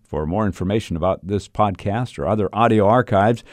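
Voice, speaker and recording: A man's voice: on the low side, a little fast, no room sound. A man reading a scripted introduction and sign-off as the host of a radio show. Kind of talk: scripted programme intro and outro.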